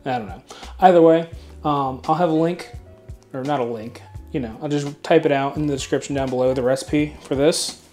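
A man's voice: a little high, moderate pace, faint music behind. A man in his thirties hosting a cooking video in his home kitchen, talking casually with lots of fillers and the odd swear word. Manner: relaxed and chatty, many fillers, mild swearing. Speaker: cooking channel host.

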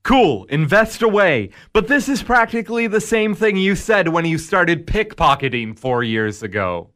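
An actor playing the Devil in a radio drama, a smug, needling tempter who talks in a measured, sardonic way. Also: male voice, sits high, big pitch swings, fairly bright tone. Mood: smug, persuasive.

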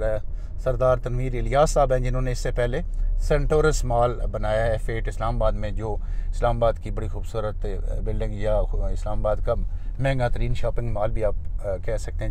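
A man with a Pakistani Urdu accent, a real estate agent who narrates a casual tour from inside a moving car, pitching plots with many fillers. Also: darkish tone, slight background noise.

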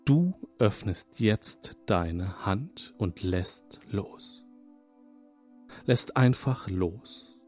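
A man leading a guided hypnosis session, speaking in a calm, soothing, repetitive manner. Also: lowish voice. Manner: slow, soothing, hypnotic, repeats phrases. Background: soft background music.

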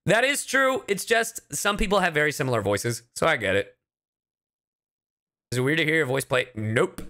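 A man's voice: a little high, normal speed. A man chatting casually and playfully on a livestream as a streamer and voice actor. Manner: animated, playful, casual swearing. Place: indoor livestream with game music.

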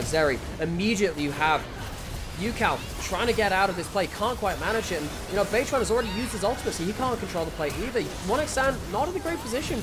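A man calls a competitive video game match in quick, excitable bursts, his voice sitting high for a man. It has a slightly ropey, strained edge from days of casting. Background music plays clearly behind him. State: voice getting ropey from overuse. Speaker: esports caster. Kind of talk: play-by-play commentary.

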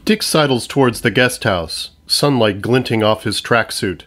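A man's voice: medium pitch, measured pace, a bit bright. A man giving scripted narration, reading out stage directions for an audio comedy.

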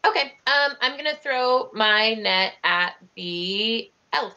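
A young woman plays in an online tabletop game, her voice bright. She thinks aloud hesitantly as she counts squares and checks rules. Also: on the high side, animated, slow pace, muffled recording. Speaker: player. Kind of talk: casual tabletop game play.

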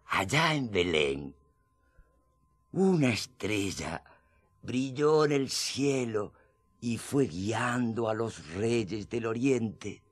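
An actor playing a poetic former tax collector turned gospel writer, emphatic and persuasive, in a radio drama. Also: male voice, on the low side, dry recording, exaggerated voice.

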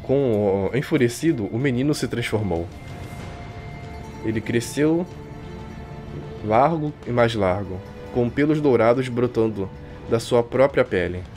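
A man with a Brazilian accent reading a visual novel aloud like a streamer, expressive and colloquial. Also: mid-range voice, a little slow, pauses often. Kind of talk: dramatic live reading with casual asides. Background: game music.

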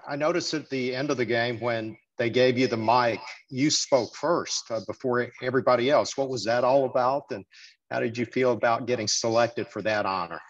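A man's voice: mid-range voice, normal speed. Friendly male reporter on a muffled line. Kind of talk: press conference question.

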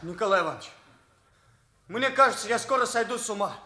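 An actor playing an earnest, lovesick teenage schoolboy who confides openly, in a Soviet radio drama. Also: male voice, high voice, expressive, fast talker, pushed and bright, full character voice. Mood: anguished, confiding.

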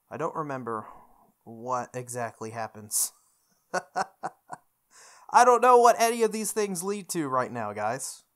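Lively let's play host reading a game aloud. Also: mid-range voice. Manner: reads the lines in character, then comments jokingly to viewers. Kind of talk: reading game text aloud with casual commentary.

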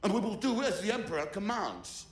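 An actor playing a stern, ruthless older Imperial admiral in a video game, cold, deliberate and commanding. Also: male voice, high-pitched, big pitch swings, reverberant. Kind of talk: video game cutscene acting.

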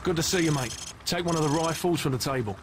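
A man's voice: a little high, quick pace, background noise, natural voice. A man playing a matey, plain-spoken British special forces instructor in a video game. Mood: brisk, instructive. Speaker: military firearms instructor. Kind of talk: video game dialogue, giving drill instructions. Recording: loud gunfire and clatter behind voice.

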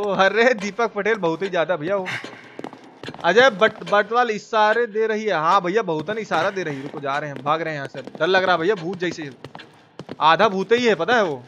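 A man's voice: high-pitched, fairly bright tone, lo-fi sound, a little hiss or hum. A man with an eastern Hindi, Bihari-style accent giving casual, slangy, exclamatory live commentary as a game streamer. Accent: eastern Hindi belt, Bihari-style.

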